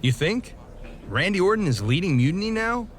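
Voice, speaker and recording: A man's voice: high voice, very animated, normal speed. A man playing an exasperated, sardonic pro wrestler hero in a video game cutscene, with dry, sceptical delivery. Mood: incredulous.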